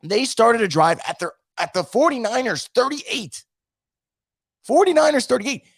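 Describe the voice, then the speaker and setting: A man hosting a sports recap stream, hoarse and losing his voice from feeling sick, ranting and reading out chat comments. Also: extremely high pitch, normal speed, no echo, studio quality. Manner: animated, frustrated, swearing. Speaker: sports podcast host.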